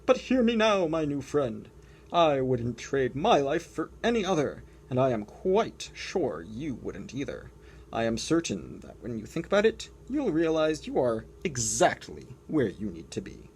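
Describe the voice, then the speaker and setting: A woman's voice: very deep voice, very animated, unhurried, a little mellow, a little hiss or hum, pitched above the performer's own voice, heavily acted, rough recording. Woman voicing a wise old walrus. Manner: grand, soothing, poetic. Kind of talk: picture book read-aloud.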